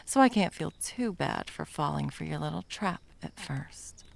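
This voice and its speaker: A woman's voice: sits low, lively intonation, moderate pace, vocal fry, heavily acted. A woman playing a bitter, sarcastic monster hunter and betrayed lover in a dramatic ASMR roleplay, mocking and foul-mouthed. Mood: smug, cold.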